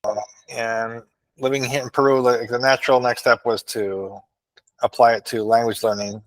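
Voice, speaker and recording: A man's voice: mid-range voice, little pitch movement, normal speed, no room sound, poor audio. A man from Canada chatting casually as a guest, easygoing, often saying "like" and restarting his sentences. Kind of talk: casual chat.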